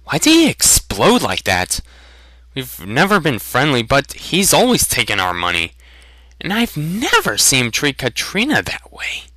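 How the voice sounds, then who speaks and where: A man's voice: mid-range voice, wildly swooping pitch, a little slow, bright, forceful tone, no room sound, pitched above the performer's own voice, exaggerated voice. A man voicing a polite, eager and earnest young farm lad in a fantasy audiobook. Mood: puzzled. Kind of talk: dramatic fantasy audiobook reading.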